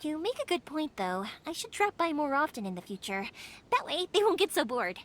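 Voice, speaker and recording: An actress playing a cheerful young fireworks maker in a video game, bubbly and eager. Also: female voice, high voice, sing-song, brisk, slight background noise. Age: young adult. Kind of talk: game voice acting.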